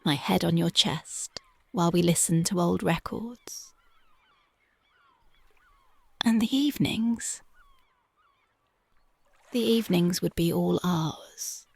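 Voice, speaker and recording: A tender young punk girlfriend, voiced by a woman, murmurs slow, dreamy sweet talk in a close ASMR roleplay. Her voice sits lowish and husky, with a gravelly fry that rasps through the hushed words. The sound is dry and close, with no room around it. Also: no echo, heavily acted. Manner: dreamy, intimate, affectionate. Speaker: voice actress. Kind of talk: ASMR roleplay, soft-spoken and whispered. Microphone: close mic.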